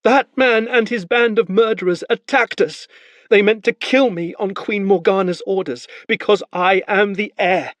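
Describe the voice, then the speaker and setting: A shrill, defiant boy prince. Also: fairly even intonation, normal speed, no echo. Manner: shrill, yelling.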